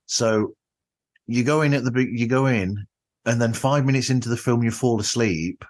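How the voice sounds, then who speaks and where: A man's voice: mid-range voice, moderate pace. A man hosting a podcast, chatting casually with his guest in an interview.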